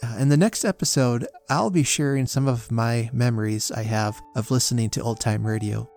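A man's voice: medium pitch, moderate pace, a little mellow, faint music behind. A man hosting a podcast, talking casually and chattily with some light joking in his introduction. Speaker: podcast host.

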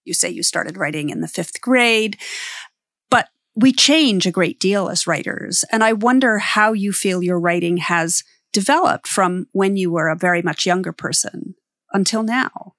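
A woman's voice: on the low side, unhurried, fairly bright tone, no room sound, studio quality. A woman hosting a podcast, introducing and interviewing a guest in a conversational but prepared way.

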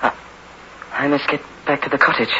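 An actor playing a haunted, insistent wounded convict, halting and earnest, in a 1960s radio drama. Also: male voice, medium pitch, level tone, pushed and bright. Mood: dazed disbelief. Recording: dull, hissy old radio recording.

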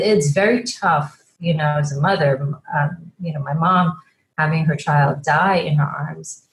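Podcast guest, female doctor born in Guyana, low voice, measured storytelling. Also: rough recording. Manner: measured, storytelling with frequent fillers. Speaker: fertility doctor, author. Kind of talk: podcast interview.

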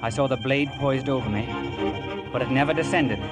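A man's voice: medium pitch, brisk, close to an everyday voice. Man as an earnest adventure narrator over music. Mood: tense, measured. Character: earnest storyteller recalling a boyhood adventure. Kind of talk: 1950s radio drama narration.

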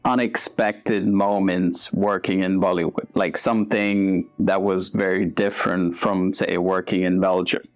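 A woman hosts a casual interview, asking upbeat, eager questions in an exceptionally low voice. Soft guitar music plays faintly behind her. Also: little pitch movement, a little slow, hardly any pauses. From India. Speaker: podcast host.

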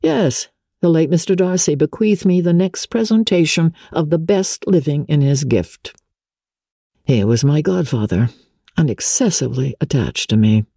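Woman as a mellow, wounded young gentleman officer. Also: deep voice, creaky, husky, dry recording, studio quality, voice pushed up, heavily acted. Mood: aggrieved, confiding. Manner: measured, plaintive, confiding. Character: smooth-talking, wronged young gentleman officer, wounded and genteel.

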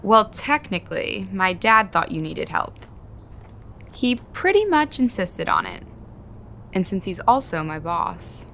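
A woman's voice: mid-range voice, sing-song, a little slow, vocal fry, fairly bright tone, full character voice. Matter-of-fact woman, faint outdoor noise. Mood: guarded, matter-of-fact. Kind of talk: naturalistic audio drama dialogue.

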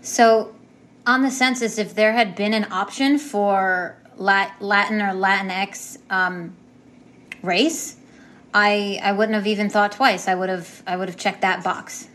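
A woman being interviewed, recalling events casually and hesitantly, pausing to search for words. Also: mid-range voice, steady tone, a bit bright. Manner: hesitant, with many fillers and restarts. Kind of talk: casual recollection.

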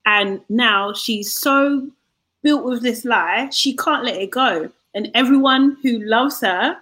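A woman's voice: a little high, slow pace, bright, forceful tone. A woman co-hosting a casual panel chat, talking in a heated, emphatic rant.

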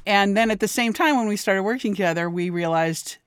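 Woman, interview host, clear smooth voice, casual chat, dry recording. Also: mid-range voice, brisk, no echo. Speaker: host, writer.

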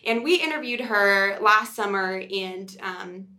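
Woman, bright forceful voice, medium pitch, chatty upbeat podcast co-host, casual delivery. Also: lively intonation, a little slow.

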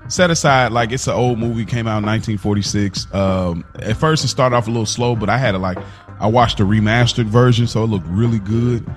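Male podcast co-host, lower register, casual and slangy storyteller, faint music underneath. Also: a little fast. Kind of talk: casual chat.

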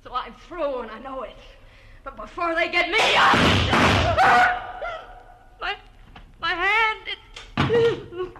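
High-pitched, desperate, vengeful murderess. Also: female voice, expressive, slow, pauses often, exaggerated voice. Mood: frantic. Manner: overwrought, intense. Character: desperate, vengeful woman, a killer at the end. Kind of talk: melodramatic mid-century radio acting. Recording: noisy vintage radio recording.

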